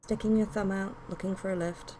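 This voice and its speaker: A woman's voice: mid-range voice, fairly even intonation, brisk, warm tone, a little hiss or hum. A British woman streaming a game, speaking casually and informally as a live-stream host. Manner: chatty, informal. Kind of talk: casual live-stream chat with read-aloud story narration.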